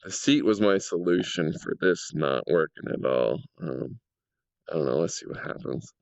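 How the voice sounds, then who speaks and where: A man with a low, gravelly voice, a little raspy from a cold. He rambles and thinks aloud with plenty of fillers while he tests things in a game. The sound is dry and a bit dull, from a home mic. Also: expressive, moderate pace, a little mellow, no room sound. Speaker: game world creator. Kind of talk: casual gameplay commentary.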